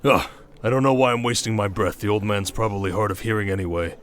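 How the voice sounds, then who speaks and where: A man's voice: lowish voice, steady tone, quick pace, a bit bright, a little hiss or hum, lightly acted. A man playing a proud, protective warrior king in a fantasy roleplay, with a commanding, sardonic manner. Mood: scornful anger. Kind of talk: dramatic fantasy ASMR roleplay.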